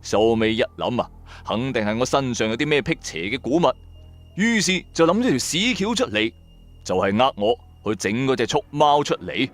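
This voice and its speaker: A man with a Cantonese accent narrating a supernatural tale in the first person, dramatic, colloquial and expressive. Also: medium pitch, normal speed, faint music behind. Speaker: storyteller narrator.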